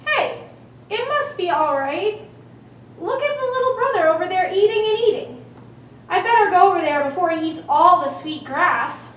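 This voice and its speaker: A woman's voice: very high voice, animated, moderate pace. A woman playing a small young billy goat, timid and pleading in a sing-song way, in a children's puppet show. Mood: eager. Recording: echoey room, distant mic, faint noise.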